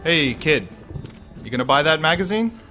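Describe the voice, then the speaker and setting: A gruff hardware store clerk in a radio drama. He has a forceful, cutting voice and a sardonic, grudging, folksy way of talking. Faint music sits behind him. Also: a little high, big pitch swings, moderate pace. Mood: sardonic irritation.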